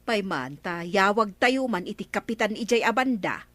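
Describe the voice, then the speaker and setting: A woman's voice: medium pitch, expressive, moderate pace, a bit bright, no echo, natural voice. A woman with an Ilocano accent playing a matter-of-fact village councilwoman in a comedy radio drama. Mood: concerned.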